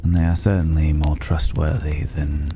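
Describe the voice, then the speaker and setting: A man playing a mysterious, worldly stranger in a dragon's hoard in an ASMR fantasy roleplay, mocking and condescending, with a languid, teasing delivery and drawn-out pauses. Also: rumbling low, level tone. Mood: reflective, admiring. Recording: clearly noisy ambient background.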